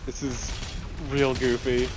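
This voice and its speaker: A man's voice: mid-range voice, level tone, slow. A man chatting casually as co-commentator on a video game. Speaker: let's play co-commentator. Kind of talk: casual chat over gameplay.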